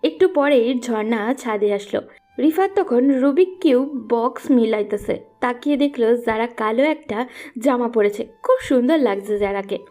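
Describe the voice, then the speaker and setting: A woman's voice: on the high side, normal speed, warm tone. A woman narrating a scripted romantic audio story.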